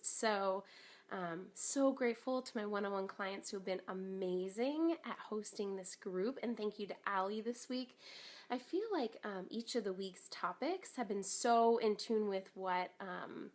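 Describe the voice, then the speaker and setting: A woman in her thirties hosting a casual live stream, slightly raspy from a cold, chatty and upbeat with lots of ums and likes. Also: mid-range voice, a little mellow.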